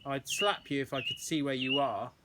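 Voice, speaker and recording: A man's voice: medium pitch, moderate pace, natural voice. A man voicing a haughty, witty boy with posh phrasing in a children's storybook. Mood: fuming. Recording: noisy outdoor recording with birdsong.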